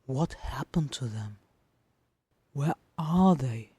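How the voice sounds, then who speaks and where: Reader acting out obsessed male phantom, audio fiction, husky, close and dry, anxious self-questioning. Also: medium pitch, animated, slow pace, dark tone, no room sound, heavily acted. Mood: distressed. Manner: anguished, self-questioning muttering.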